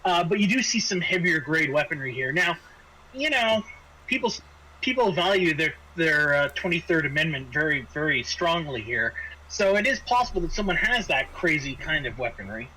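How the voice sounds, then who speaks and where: A man's voice: fairly high pitch, lively intonation, normal speed, sharp tone, low-quality recording. A man running a tabletop roleplaying game as game master, narrating off the cuff and often repeating and restarting his phrases. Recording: faint background noise.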